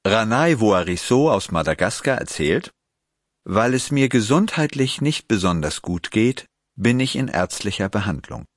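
A man reading a magazine article aloud in a measured, scripted way and posing interview questions. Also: fairly low pitch, no room sound, studio quality. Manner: measured, even, clear read. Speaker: narrator and interviewer.

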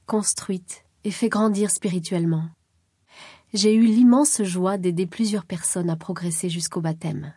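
Mellow, husky-voiced woman reading calmly. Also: medium pitch, no echo, clean studio sound. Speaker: reader of a first-person article. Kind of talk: scripted first-person narration.

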